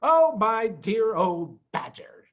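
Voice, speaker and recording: A sly, self-assured father fox, voiced by a man in storybook style. He speaks grandly and emphatically, with lively swooping pitch. The sound is slightly dull. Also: slow, heavily acted. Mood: apologetic. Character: confident, reassuring father fox, generous and sly. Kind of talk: storybook character voice.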